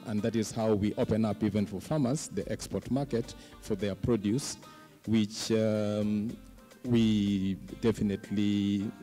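A middle-aged man speaking as a panelist, pausing often mid-sentence, into a handheld microphone on stage. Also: lowish voice, mellow. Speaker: panelist, fish processor representative. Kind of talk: panel discussion remarks. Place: indoor conference stage. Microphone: handheld microphone close to mouth.

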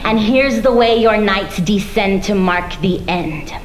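A woman's voice: medium pitch, slow, a little hiss or hum. A woman performing spoken-word poetry on stage in a theatrical, emphatic manner. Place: large meeting room before a seated audience. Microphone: stand microphone. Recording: echoey live room recording.

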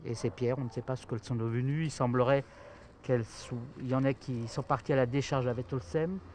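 A man's voice: medium pitch, steady tone, a little fast, darkish tone. A middle-aged man acting as a local guide, explaining things in conversation outdoors. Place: outdoors in a village cemetery. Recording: outdoor camera sound, faint noise.

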